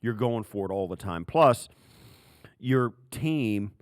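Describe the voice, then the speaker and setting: A man with an American accent giving casual football analysis as a podcast co-host, in a loose, halting way that piles up examples. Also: on the low side, lively intonation, clean tone, no room sound, studio quality.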